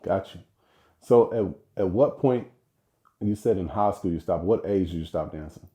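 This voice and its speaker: A man's voice: low voice, normal speed, warm tone. A man hosting a podcast and interviewing his fiancée, with an upbeat manner. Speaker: podcast host.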